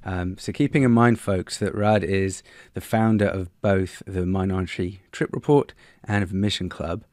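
A man's voice: low-pitched, fairly even intonation, moderate pace, a little mellow, no echo, studio quality. A man hosting a podcast interview, friendly and chatty, addressing his guest as mate. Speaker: podcast host. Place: home room with sloped ceiling. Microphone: studio mic on arm with headphones.

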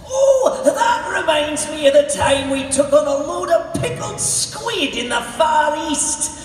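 A woman puts on a rambling old salt in a comic radio play. The high voice spins homespun yarns. It comes from far off in a very echoey hall. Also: big pitch swings, slow pace, soft background music, exaggerated voice. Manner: folksy, rambling. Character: folksy, rambling old yarn-spinning sailor. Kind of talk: comic old-time radio drama acting. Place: on stage in a large convention hall.

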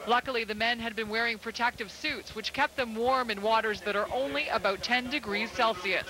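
A woman's voice: on the high side, a little fast, crowd noise, low-quality recording. A woman reporter reading a scripted news voice-over with urgent, dramatic delivery.

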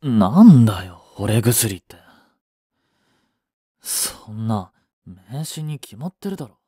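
A man's voice: medium pitch, big pitch swings, takes it slowly, dark tone, slightly rough, dry recording, studio quality, full character voice. A man playing a curt, sulky young boyfriend in a romance audio drama, with a blunt, muttering manner. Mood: doubtful. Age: young adult. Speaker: voice actor.

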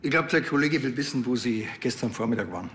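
An actor playing a dry, unflustered small-town police officer with a Bavarian accent in a TV crime comedy. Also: male voice, medium pitch, animated, quick pace, fairly bright tone, long reverb tail, a little hiss or hum, close to an everyday voice. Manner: laconic, dry.

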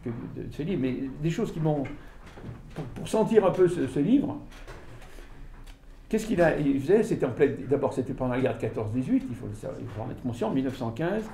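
Older man, author lecturing, dark mellow voice, halting and animated, caught in a roomy hall by a far camera. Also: medium pitch, creaky, slight background noise. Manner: animated, halting delivery with frequent fillers and restarts. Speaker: author giving a book talk. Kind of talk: informal lecture to an audience. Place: small room with audience, indoors. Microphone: far camera, no mic seen. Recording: echoey room from far off.

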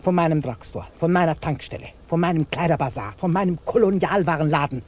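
Stern, scolding, tyrannical aunt. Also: female voice, low-pitched, fast, darkish tone. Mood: stern, accusing. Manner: scolding, sarcastic, commanding. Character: stern, tyrannical, tight-fisted businesswoman aunt. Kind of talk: 1950s German radio play acting. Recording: faintly noisy old 1950s radio recording.

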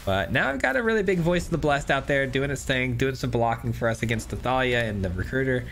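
A man's voice: medium pitch, expressive, fairly quick, a bit bright, slight background noise. A young man giving upbeat, chatty commentary over a digital card game, thinking aloud with frequent restarts. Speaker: gameplay commentator. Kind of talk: casual gameplay commentary.

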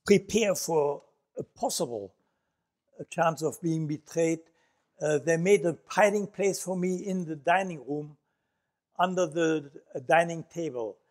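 A man's voice: fairly high pitch, unhurried, darkish tone. Older Dutch-accented man telling his story. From Netherlands. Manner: reflective storytelling with frequent fillers. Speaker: Holocaust survivor speaker. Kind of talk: lecture. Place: auditorium stage.

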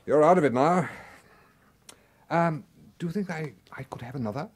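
An actor with a British accent playing an affable, wheedling secret service official in a radio drama, genteel and falsely casual. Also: male voice, a little high, normal speed, noisy background, close to an everyday voice. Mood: coy, insinuating. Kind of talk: radio drama acting, dialogue.

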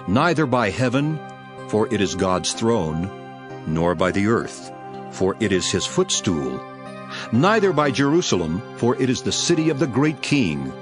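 An actor playing a solemn, authoritative male preacher in a dramatized King James Bible reading, declaiming in a measured, emphatic way. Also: lowish voice.

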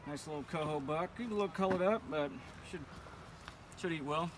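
A man's voice: fairly high pitch, a little fast. A young man explaining step by step how he ties his fishing rig on a riverbank, in a laid-back, plainspoken and slangy way. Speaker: fishing vlogger. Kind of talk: casual how-to demonstration. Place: riverbank outdoors. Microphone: camera a few feet away. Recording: outdoor camera audio from a distance, steady background noise.

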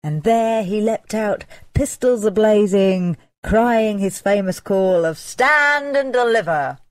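A woman storyteller narrating a folk tale dramatically, with expressive, deliberate emphasis. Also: mid-range voice, dry recording.

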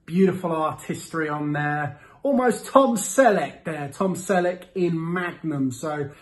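A man in his thirties giving a casual product review to camera, with a playful, theatrical delivery and drawn-out words. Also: a little high. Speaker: host of a beer review series. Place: small indoor room with window blinds.

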